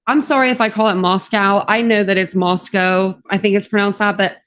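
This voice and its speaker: A woman's voice: mid-range voice, fairly even intonation, brisk, fairly bright tone, clear, resonant tone. Chatty Southern woman, scratchy morning voice. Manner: chatty, rambling, many ums. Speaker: true crime YouTuber. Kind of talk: casual livestream chat.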